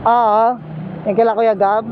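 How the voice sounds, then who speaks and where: Thin phone-like sound, clear road noise, man, casual vlog chat, teasing, chatty motorcycle taxi rider. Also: high-pitched, level tone, a little slow. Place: outdoors, riding a motorcycle in traffic. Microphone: helmet intercom headset. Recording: tinny intercom mic, loud road noise.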